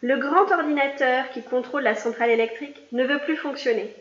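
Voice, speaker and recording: A woman voicing a calm adult man, a technician who explains a problem in a matter-of-fact way. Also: sits high, a little fast, very noisy, close to an everyday voice. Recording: dull, echoey room.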